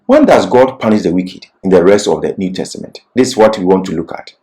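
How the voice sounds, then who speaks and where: A man's voice: sits low, expressive, fast talker, mellow. A man giving an expository Bible lecture as a teacher, repeating key phrases for emphasis.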